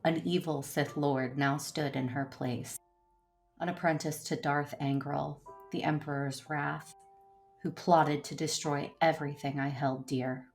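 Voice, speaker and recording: Actress doing a grave embittered jedi woman, low voice, solemn and measured, sci-fi audio drama. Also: steady tone, soft background music. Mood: brooding, somber. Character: grave, embittered female Jedi warrior, conflicted and resolute. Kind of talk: first-person narration and dialogue in audio drama.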